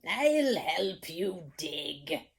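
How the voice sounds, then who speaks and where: A woman reading aloud and voicing a fussy, hungry king who speaks in slow, emphatic lines. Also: on the high side, very animated, full character voice. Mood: steady. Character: fussy hungry king, grumpy then pleased.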